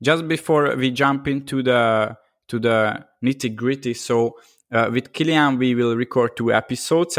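A man's voice: mid-range voice, no echo. Guy hosting a podcast, lots of ums and restarts, dry close sound. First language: Hungarian. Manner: halting, many fillers and restarts. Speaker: podcast host. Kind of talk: casual interview chat.

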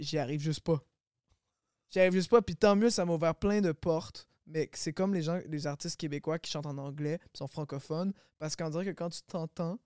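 A man chatting casually as a rapper guest on a podcast, relaxed and laughing often. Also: a little high, smooth, no echo, clean studio sound.

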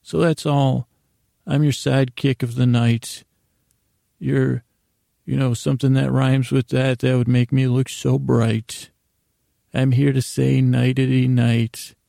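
A man hosting a bedtime podcast in a meandering, halting monologue with a gravelly voice, often interrupting himself. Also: medium pitch, little pitch movement, warm tone, no room sound, studio quality. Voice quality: gravelly, creaky. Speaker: podcast host. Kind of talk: rambling bedtime monologue to help listeners sleep.

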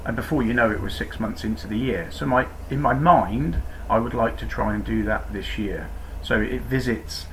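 Gravelly-voiced man rambling. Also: fairly low pitch, moderate pace, a bit bright, a little hiss or hum, rough recording. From Cornwall, England. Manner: rambling, self-deprecating, frequent restarts. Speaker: podcast guest, cyclist. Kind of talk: casual interview chat.

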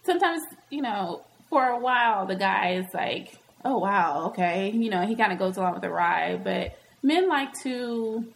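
A woman's voice: medium pitch, expressive, moderate pace, gravelly, hardly any pauses, rough recording. A woman from Nashville with a blended American Southern accent, chatting casually and frankly, often acting out dialogue. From Nashville, Tennessee, US. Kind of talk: casual candid chat.

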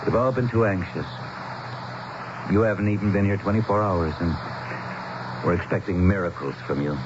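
An actor playing an earnest, anxious, educated town leader, speaking formally and haltingly as he explains, in a radio drama. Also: low voice, close to an everyday voice. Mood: resigned. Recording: muffled, noisy 1970s radio broadcast.

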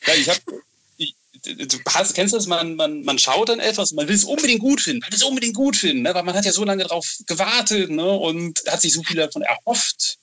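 A man's voice: high voice, lively intonation, fairly quick, sharp tone. A middle-aged man chatting casually and enthusiastically as an interview guest, often repeating the starts of phrases as he searches for words. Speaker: podcast interview guest, novelist.

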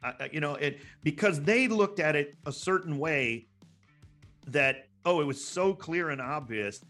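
A man's voice: a little high, a little slow, sharp tone, faint music behind. A man giving impassioned sports commentary in a panel discussion, emphatic and exasperated, repeating words for stress. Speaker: sports broadcaster, guest pundit.

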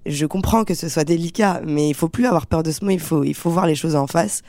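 Earnest young French woman, dark voice. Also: sits low, fairly quick, dry recording. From France. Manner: earnest, animated, many fillers and restarts. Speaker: actress, interview guest. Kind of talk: casual interview talk.

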